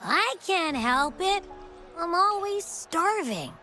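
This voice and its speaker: A bright, bubbly young game heroine who is always hungry. Her voice is high and sparkling, swooping up and down. She talks slowly and playfully, with a boastful streak. Soft game music plays behind. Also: big pitch swings, exaggerated voice. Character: cheerful food-loving young woman companion. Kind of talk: video game cutscene voice acting. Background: faint game music.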